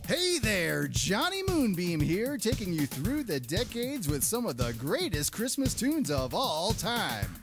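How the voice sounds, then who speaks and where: A woman's voice: mid-range voice, very animated, a little slow, hardly any pauses, music in the background. A woman playing an upbeat, patient male radio DJ puppet, with showy, polite comic patter.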